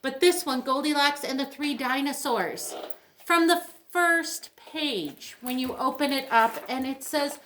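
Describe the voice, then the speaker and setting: A woman's voice: high-pitched, unhurried, a bit bright, a little hiss or hum. A middle-aged woman giving a casual, chatty and enthusiastic book talk as a librarian recommending titles.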